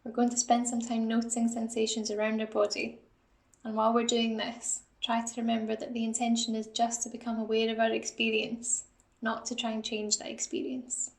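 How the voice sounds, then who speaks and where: A woman leads a guided body scan meditation in a fairly high voice with a flat, steady melody. Her delivery is calm and gentle, with noticeable creak, and she gives each instruction softly. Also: fairly quick, reverberant. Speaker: assistant psychologist guiding a meditation.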